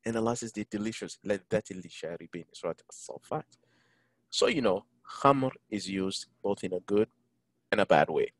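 A man teaching an online Quran lesson, engaging his listeners and reciting Arabic verses quickly. English is not his first language. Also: fairly low pitch, animated, no room sound. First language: neither Arabic, English nor French. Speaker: imam teaching a Quran class. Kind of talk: online Quran lesson over a video call. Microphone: video call.

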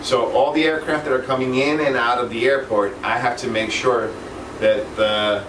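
A man's voice: mid-range voice, fairly even intonation, moderate pace. A middle-aged man casually explaining his job as an air traffic controller in an interview. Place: small office indoors. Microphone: camera mic at a distance. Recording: echoey room, distant mic, air-conditioning noise.

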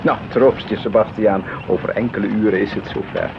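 An actor playing an effusive 18th-century prince in a Dutch radio drama, speaking emphatically in a muffled, noisy old recording. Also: male voice, fairly low pitch, fairly even intonation, fairly quick, vocal fry. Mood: hearty, jovial. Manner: effusive, emphatic, repeats phrases.

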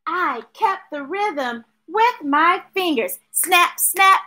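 Story time host: American woman, bright voice, unhurried pace, expressive and rhythmic with kids' books. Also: very high-pitched. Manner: expressive, playful, chanting repeated words rhythmically. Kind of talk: animated picture book read-aloud with chatty asides. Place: small indoor room with shelves of books. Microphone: webcam or device at arm's length.

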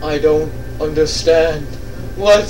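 A man's voice: fairly high pitch, takes it slowly, darkish tone, slight background noise. A man chatting casually and jokingly as he plays a game, given to exclamations and mock-dramatic lines. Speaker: gaming video player. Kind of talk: casual joking let's play chat. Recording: distant mic under game music. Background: video game music.

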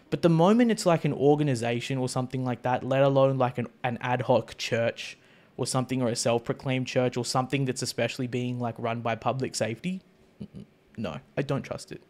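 A man in his thirties streaming a manga reaction, rambling and swearing casually into a close boom mic. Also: darkish tone. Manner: rambling, swearing, laughing often. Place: home streaming room.

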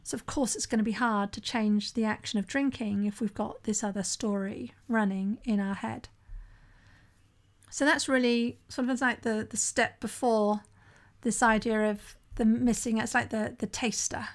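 Chatty woman with a bright voice. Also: a little high, steady tone, moderate pace. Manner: conversational, often asks "right?", occasional restarts. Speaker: podcast host and sober-living coach. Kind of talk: solo podcast monologue.

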